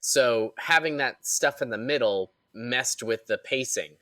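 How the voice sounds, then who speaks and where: A man's voice: medium pitch, measured pace, fairly bright tone, no room sound. A man who works as an audio editor, chatting casually and emphatically on a panel, often repeating phrases to make a point. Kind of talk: casual, animated panel chat.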